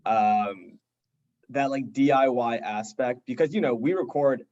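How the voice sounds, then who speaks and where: A man's voice: medium pitch, unhurried, a little mellow. Casual joking man, slightly dull audio. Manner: joking, rambling, peppered with "like". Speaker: band drummer being interviewed. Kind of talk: casual interview chat.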